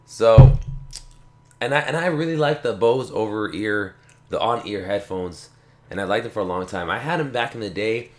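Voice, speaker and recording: A man hosting a casual tech video, rambling in a relaxed way and repeating himself, with a slight cough. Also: on the low side, moderate pace. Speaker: tech channel host. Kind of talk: casual unboxing talk to camera. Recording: echoey room.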